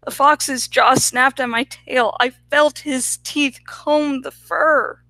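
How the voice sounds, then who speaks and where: A woman narrates a story in a very bright, crisp voice. Her pitch sits a little high and she takes her time, pacing it slowly. The sound is close and dry, like an audiobook read. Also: steady tone, no room sound, low-quality recording. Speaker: audiobook reader. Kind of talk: expressive first-person story narration.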